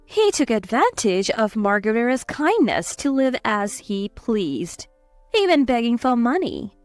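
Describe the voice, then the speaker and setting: A woman's voice: fairly high pitch, sing-song, measured pace, no room sound, soft background music. A woman voicing a confident, protective aunt who speaks in a pointed, composed way in a dramatized story. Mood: composed, accusing. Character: confident, cutting, protective aunt exposing cheaters. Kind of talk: scripted story dialogue.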